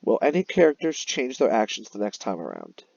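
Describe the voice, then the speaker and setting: A man's voice: on the low side, huge pitch swings, brisk, creaky, darkish tone, dry recording, dull audio. A man teaching young children in an explanatory way, as in a recorded read-aloud lesson. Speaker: elementary teacher. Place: voice-over on a screen-recorded slide lesson.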